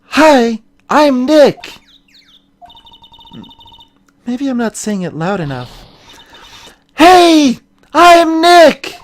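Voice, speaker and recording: Woman voicing a slow-talking, cheerful girlish game sidekick. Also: sits high, sing-song, heavily acted. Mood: eager, playful. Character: cheerful, curious young woman, naive assistant sidekick. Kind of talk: voiced video game dialogue.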